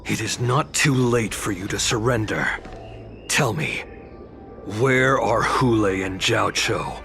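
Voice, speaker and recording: A man playing a cool, cynical young retainer to a general in a video game, with a dry, controlled, quietly threatening delivery. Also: on the low side, expressive, slow, full character voice. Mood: cold threat. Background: game music.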